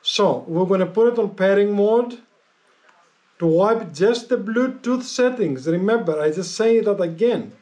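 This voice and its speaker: A man giving a casual hands-on tutorial as a vlogger, explaining step by step in a halting, repetitive way. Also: high voice, animated, a little mellow.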